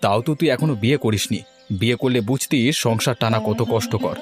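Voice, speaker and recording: A man's voice: medium pitch, fast talker, faint music behind, lightly acted. A man voicing a weary, resentful married farmer in a village cartoon, grumbling about his hard lot. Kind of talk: cartoon voice acting.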